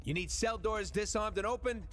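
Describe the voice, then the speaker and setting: A man's voice: high-pitched, moderate pace, fairly bright tone, a little hiss or hum. An actor playing a boastful, sarcastic male raccoon rogue in a game cutscene with music behind.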